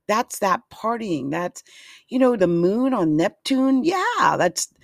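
A hoarse speaker chatting casually about astrology, rambling and giggling often. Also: mid-range voice, no echo, clean studio sound. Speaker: astrology vlogger.

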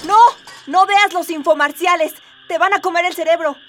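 A woman's voice: very high voice, fairly even intonation, moderate pace. A woman playing a bold young rebel heroine, emphatic and melodramatic in a radio drama. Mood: urgent. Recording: music and crowd noise behind.

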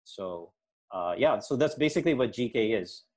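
A man's voice: medium pitch, animated, normal speed, darkish tone. A middle-aged man talking casually as an interview guest, explaining and recounting his own experiences. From Indonesia, Hong Kong and the Philippines. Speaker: interview guest, sports programme organiser and futsal official.

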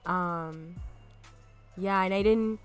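A woman's voice: fairly low pitch, drawn-out words, smooth, soft background music. A young woman from Miami, a podcast guest, talking in an earnest, reflective way in casual chat. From Miami, United States. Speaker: podcast guest, school counselor.

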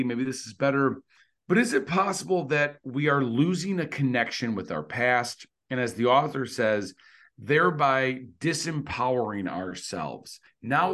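A middle-aged man co-hosting a casual discussion, musing tentatively with lots of fillers. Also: medium pitch. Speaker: podcast co-host.

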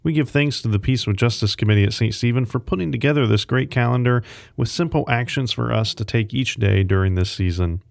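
A man's voice: mid-range voice, vocal fry, darkish tone, dry recording, studio quality. A man hosting a devotional podcast, reading scripted announcements and introductions.

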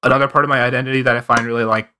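A man from Canada chatting casually on a podcast in a halting way, leaning heavily on "like". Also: medium pitch, level tone, pushed and bright. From Canada, born in India. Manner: halting, filler-heavy, frequent "like". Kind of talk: casual group chat.

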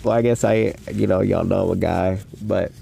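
A man's voice: low voice, steady tone, normal speed, gravelly, dark tone. A young man hosting a casual solo podcast, talking in a loose, rambling, slangy way with a stuffy nose from a head cold. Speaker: podcast host.

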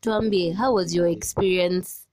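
Slow-talking playful Kenyan woman. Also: fairly low pitch, steady tone, darkish tone, low-quality recording. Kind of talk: casual chat.

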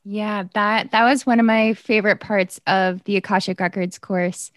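Woman asking questions, all excited and admiring, dry close sound. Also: mid-range voice, moderate pace, no echo. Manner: enthusiastic, praising questions. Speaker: interviewer and former student. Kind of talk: casual interview.

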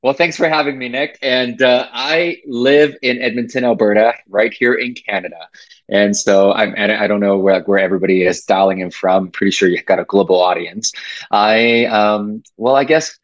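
A man's voice: fairly low pitch, steady tone, moderate pace, dry recording, lo-fi sound. A man from Taiwan in his late thirties, a podcast guest talking casually and reflectively, with many fillers and restarts. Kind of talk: casual interview talk.

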